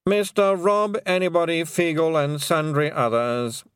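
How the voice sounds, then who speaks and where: Man playing a pompous lawyer, slow, formal, droning, storybook. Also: on the high side, fairly even intonation, smooth, dry recording, studio quality, voice pushed up, close to an everyday voice. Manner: measured, formal. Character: dry, pompous male lawyer reading charges.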